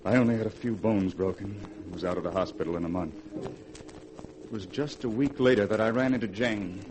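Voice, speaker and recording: Actor as a low-voiced, haunted, bitter husband. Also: male voice, a little fast, natural voice. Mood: haunted, brooding. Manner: grave, dramatic. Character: haunted, bitter husband, desperate to escape his wife. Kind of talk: 1940s radio horror acting. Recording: hissy vintage 1940s radio recording.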